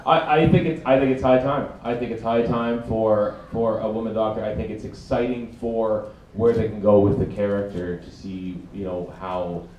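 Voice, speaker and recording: A man speaking on a convention panel as a podcaster, halting and wry, repeating words as he thinks. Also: lowish voice, steady tone, a little mellow, dull audio, slight background noise. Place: large convention hall. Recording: echoey hall, far from mic.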